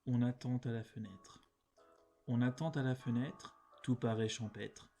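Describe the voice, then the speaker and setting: A man reciting poems in a measured, deliberate way, phrase by phrase. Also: mid-range voice, steady tone, mellow, soft background music. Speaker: poetry reader. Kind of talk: poetry recitation.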